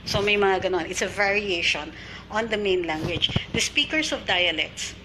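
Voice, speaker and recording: A woman's voice: medium pitch, normal speed, background noise. A woman from the Philippines with a Filipino accent, reading viewer comments and explaining in casual mixed Filipino and English, with many fillers and restarts. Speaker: online show host reading viewer comments.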